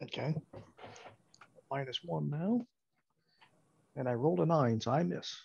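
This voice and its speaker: Male game player, gravelly, slightly high, casual, recorded dull and lo-fi. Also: a little slow, darkish tone. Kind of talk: casual game-table chat.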